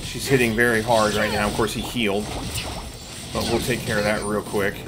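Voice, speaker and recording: A man's voice: fairly low pitch, level tone, fairly quick, heavy background noise. A middle-aged man giving casual, explanatory live commentary to viewers as he plays a video game. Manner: explanatory, talking through play to viewers. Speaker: gaming streamer. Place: indoor room at home. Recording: game music behind the voice. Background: video game music.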